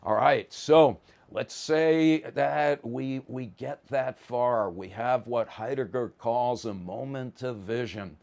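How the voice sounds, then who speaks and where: A man's voice: mid-range voice, slow, gravelly, a little mellow. An American man from the Bronx, a professor giving an informal lecture in an emphatic, wry, colloquial way. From the Bronx, New York, USA. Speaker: psychology professor.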